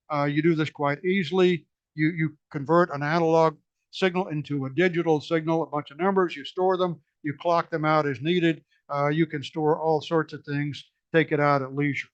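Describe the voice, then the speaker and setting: A hoarse man presents technical slides to a museum society, stumbling through fillers and restarts as he explains. His voice sits a little high, and the recording is dry and somewhat dull, with no room echo. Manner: halting, many fillers and restarts. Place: meeting room.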